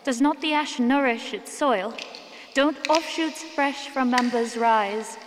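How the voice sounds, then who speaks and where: A woman playing a composed village preacher and wise woman in a fantasy audio drama, declamatory and gently commanding. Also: a little high, takes it slowly, long reverb tail, background noise. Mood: solemn, preaching.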